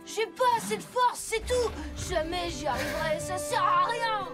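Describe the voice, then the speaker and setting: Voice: very high voice, expressive, moderate pace. An actor voicing an anxious, self-doubting young boy motorbike in a French-dubbed children's cartoon, hesitant and plaintive. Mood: discouraged. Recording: loud music behind.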